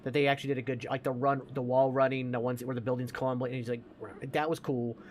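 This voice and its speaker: A man's voice: medium pitch, flat delivery, fast talker, slight background noise. A man chatting casually about movies as a podcast co-host, emphatic, opinionated and given to swearing.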